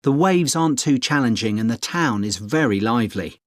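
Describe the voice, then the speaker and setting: A man's voice: medium pitch, lively intonation, normal speed, no room sound, studio quality, close to an everyday voice. A man acting a friendly, experienced middle-aged surfer dad giving informative, encouraging advice in a scripted exam dialogue. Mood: helpful, enthusiastic.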